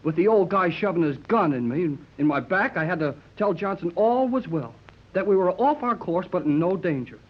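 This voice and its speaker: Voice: medium pitch, expressive, moderate pace, close to an everyday voice. A performer playing a plucky, impatient American boy with brash, folksy slang, in 1930s radio serial style. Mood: glum defiance. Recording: dull old 1930s radio recording.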